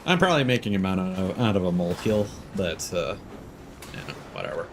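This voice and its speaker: A man's voice: low-pitched, big pitch swings, a little fast. A man giving casual, wry gameplay commentary, playfully talking to himself as he streams. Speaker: video game streamer. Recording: game noise behind the voice. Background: video game ambience and mechanical sounds.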